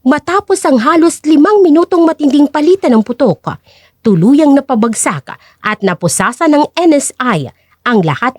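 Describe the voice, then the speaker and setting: A woman's voice: sits high, darkish tone, no room sound, studio quality. A woman narrating a scripted story dramatically as a storyteller and host.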